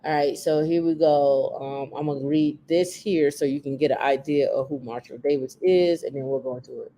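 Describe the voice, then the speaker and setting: A woman with a naturally deep voice, hosting a casual podcast chat and repeating her points for emphasis. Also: steady tone, normal speed, mellow, a little hiss or hum. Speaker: podcast host.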